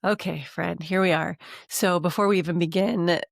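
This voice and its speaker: Congested woman podcast host, gravelly. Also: lowish voice, steady tone, moderate pace, no room sound, studio quality. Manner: earnest, encouraging, repeats lists for emphasis. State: congested from a cold. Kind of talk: coaching monologue.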